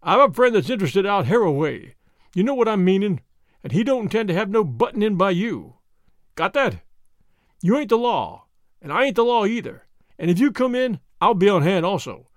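A man voicing a hulking, menacing bruiser who blusters in rough, ungrammatical slang. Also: sits high, big pitch swings, fairly quick, no room sound, clean studio sound. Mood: threatening.

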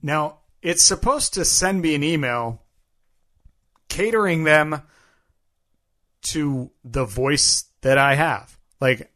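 A man's voice: a little high, expressive, slow pace, pauses often, fairly bright tone. A man co-hosting a podcast in a casual, joking and self-deprecating chat style. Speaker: podcast co-host.

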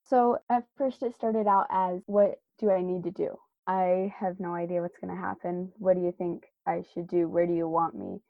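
A woman's voice: lowish voice, fairly even intonation, normal speed, gravelly, few pauses, dry recording. Earnest woman, dry, dull-sounding recording. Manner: earnest, personal storytelling. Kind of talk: casual faith discussion.

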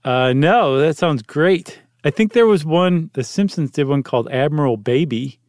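A man with a fairly high voice hosts a podcast in easy, jokey chat. The sound is dry and close on a studio mic, with almost no room around it. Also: animated, moderate pace, a little mellow, no room sound. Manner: playful, jokey, chatty. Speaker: podcast co-host.

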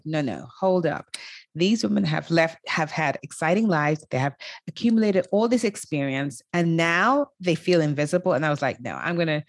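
A woman in her fifties speaks as an interview guest, emphatic and upbeat, often breaking into laughter. Her voice sits a little low but swings widely in pitch. The sound is dry and close, with no room around it. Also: no echo. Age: 50s. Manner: enthusiastic, quick to laugh, emphatic. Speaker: guest, life coach for women over fifty. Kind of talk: interview conversation.

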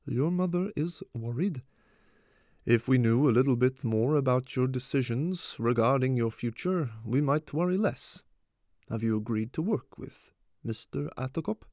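A man voicing a quiet, gentle father in a story, soft-spoken and measured. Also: medium pitch, monotone, clean tone, no room sound, studio quality, lightly acted. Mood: gentle, concerned. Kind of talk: audiobook character dialogue.

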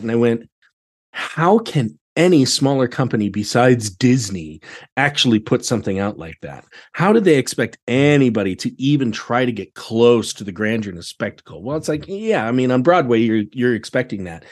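Casting: American male podcast guest, mid-pitched voice, chatty and expressive anecdote teller. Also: moderate pace. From United States. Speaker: podcast guest, theatre director. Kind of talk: casual chat, anecdotes.

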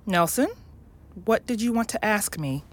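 A woman playing a loving, patient, academic mother in an audio drama, explaining things gently and thoughtfully, with some hesitation. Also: mid-range voice, expressive, moderate pace, natural voice. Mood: fond, distracted.

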